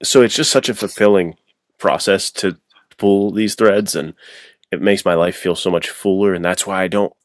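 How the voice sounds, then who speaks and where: A man's voice: low voice, fairly even intonation, normal speed, no room sound. A man chatting casually and reflectively as a podcast guest, informal and earnest, thinking aloud in long runs. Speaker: podcast guest, adventure novelist.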